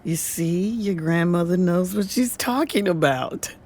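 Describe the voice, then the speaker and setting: A woman's voice: on the low side, unhurried, a little mellow. An actress playing a wise, patient older grandmother in an audio drama. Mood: gently defensive.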